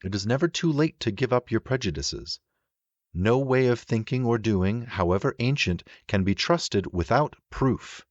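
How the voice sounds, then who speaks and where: A man narrating a literary audiobook in a measured, formal and expressive reading manner. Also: mid-range voice, dry recording, highs cut off.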